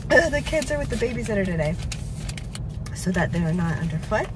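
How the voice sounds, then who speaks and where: A woman's voice: mid-range voice, big pitch swings, brisk, background noise. A woman in her thirties, a mother, chatting to the camera in a casual vlog while losing her voice, sounding frazzled and self-deprecating. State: losing her voice, sore throat. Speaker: vlogger and mother. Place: home and parked car. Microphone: phone held at arm's length.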